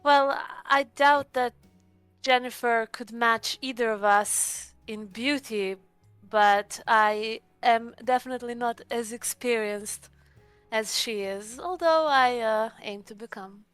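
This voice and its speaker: A woman's voice: fairly high pitch, takes it slowly, a bit bright, clear, resonant tone, no room sound, faint music behind. A woman playing a charming, ambitious female vampire in a tabletop game, genteel and poised in her polite talk. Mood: flattering, coy.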